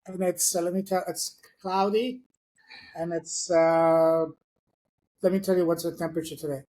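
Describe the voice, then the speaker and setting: A man's voice: on the high side, steady tone, normal speed, a little mellow. Earnest man from France, on a call. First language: not English. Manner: earnest storytelling with many "uh" fillers. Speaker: guest. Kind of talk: online interview. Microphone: video call.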